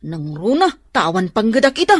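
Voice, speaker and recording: A woman's voice: medium pitch, big pitch swings, moderate pace, husky, dry recording. An actress playing a perky, eager young woman friend in a radio drama. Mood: excited, cheerful.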